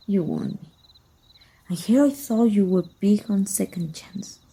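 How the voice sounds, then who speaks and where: A woman playing a teasing, wistful and flirty female vampire hunter with a breathy, husky voice in an intimate ASMR roleplay. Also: medium pitch, heavily acted. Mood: wry, coaxing. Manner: slow, teasing, softly taunting.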